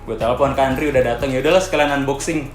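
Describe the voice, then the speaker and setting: A young man with a Jakarta Indonesian accent chatting casually and excitably as he hosts an unboxing vlog. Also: mid-range voice, racing. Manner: excitable, colloquial. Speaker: vlog host. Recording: echoey room with background music.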